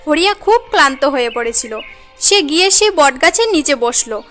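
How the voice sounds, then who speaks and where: A woman's voice: high-pitched, lively intonation, a little fast, a bit bright, soft background music. A woman narrating a scripted cartoon story as a dramatic storyteller.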